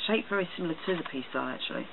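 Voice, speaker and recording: A man giving a casual, halting show-and-tell product review for a vlog. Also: fairly high pitch, lively intonation, vocal fry. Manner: hesitant, halting delivery. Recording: tinny phone-like sound, loud noise, clicks.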